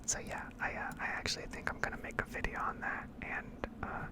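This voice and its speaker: A woman whispers throughout in a breathy, unvoiced tone. She talks as the host of an ASMR gaming video, rambling through explanations in an analytical way. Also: brisk.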